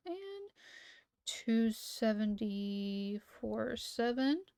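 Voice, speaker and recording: Woman streamer kinda high voice, bit hoarse, reading stuff off then chatting, dry close mic at home. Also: big pitch swings, drawn-out words, mellow, no echo. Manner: skips text with "blah, blah" asides. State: losing her voice. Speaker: livestreamer. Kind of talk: reading entries aloud, then casual chat.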